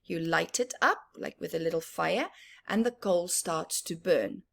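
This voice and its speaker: A woman reading a picture book aloud to children in an expressive, teacherly way, adding simple explanations and questions for the listener. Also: lowish voice, unhurried, gravelly. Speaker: children's story time reader.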